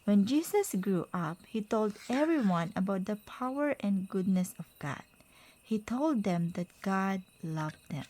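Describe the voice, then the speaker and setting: A woman reading a children's picture book aloud to a child, in simple, deliberate phrases with pauses. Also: mid-range voice, big pitch swings, darkish tone, slight background noise.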